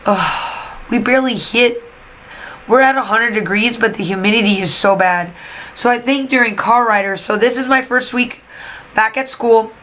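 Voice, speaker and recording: A woman's voice: fairly high pitch, normal speed, a bit bright. A woman, a teacher who runs a craft channel, chatting and venting in a casual voiceover while she is losing her voice. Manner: chatty, venting, emphatic repetitions. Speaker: teacher and scrapbooking channel host. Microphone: voiceover mic.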